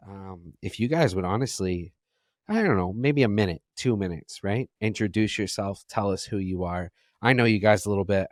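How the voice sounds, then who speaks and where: A man with a lowish voice hosts a podcast, recorded dry and close. He asks his guests to introduce themselves, pacing his questions slowly and deliberately. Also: no room sound. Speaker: podcast host. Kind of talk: interviewing guests.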